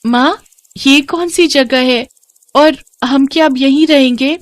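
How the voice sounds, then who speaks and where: A woman's voice: sits high, slow pace, slight background noise. A woman voicing a caring little daughter who worries about her mother. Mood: worried.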